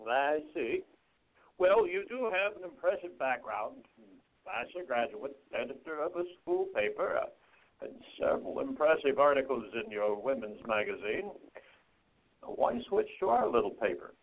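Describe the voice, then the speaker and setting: Man playing a curt editor, animated pitch, thin phone-line audio. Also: normal speed. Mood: businesslike. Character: gruff middle-aged male newspaper editor. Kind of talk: amateur radio play acting. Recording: tinny phone-like recording.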